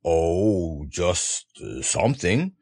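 Low, slow, bumbling storybook bear. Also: male voice, very animated, darkish tone, no echo, exaggerated voice. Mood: excited, vague. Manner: bumbling, hesitant, good-natured. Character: friendly, slow-witted, earnest male toy bear.

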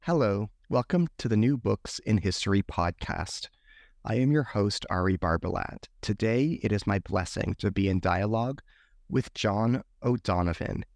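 A man's voice: on the low side, animated, normal speed. A man hosting a history podcast, asking questions in a formal, ornate way. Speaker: podcast host.

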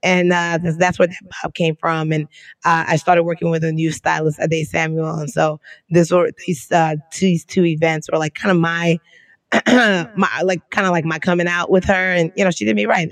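A woman co-hosting a podcast in casual chat, hoarse and losing her voice, telling stories in lively slang. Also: lowish voice, moderate pace, no echo. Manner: slangy, animated storytelling. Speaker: podcast co-host.

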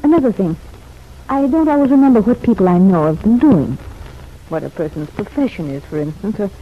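Actress as young female sanitarium patient, 1940s-style radio soap: poised, formal, self-examining, mid pitch, dulled vintage sound. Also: lively intonation, brisk, lightly acted. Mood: earnest, reflective. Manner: formal, articulate, hesitant phrasing. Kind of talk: old-time radio soap opera acting. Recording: muffled, noisy old radio recording.

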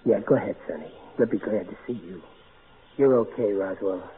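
A man's voice: fairly low pitch, moderate pace, natural voice. An actor playing a kindly, plainspoken man in a 1940s radio drama. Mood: gentle, reassuring. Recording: narrow, hissy 1940s radio broadcast.

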